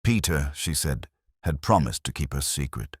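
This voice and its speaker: Male actor as a brooding inspector, extremely low voice, expressive swings in pitch, measured literary delivery, audio mystery narration. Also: slightly rough, dry recording, clean studio sound, exaggerated voice. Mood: grave, hushed. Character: world-weary, brooding male English police inspector recounting a case. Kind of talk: scripted first-person mystery narration.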